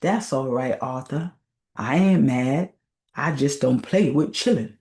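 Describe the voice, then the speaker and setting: A woman's voice: extremely low pitch, slow pace, darkish tone, exaggerated voice. A woman voicing a cantankerous old man in a folktale, scolding in folksy Southern dialect. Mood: grumbling. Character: cantankerous old grandfatherly man telling tall tales.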